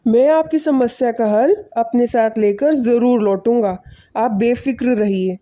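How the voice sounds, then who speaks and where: A woman voicing a humble, selfless poor village man in an animated moral story, speaking politely and earnestly. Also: fairly high pitch, a little slow, natural voice. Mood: respectful, pleading.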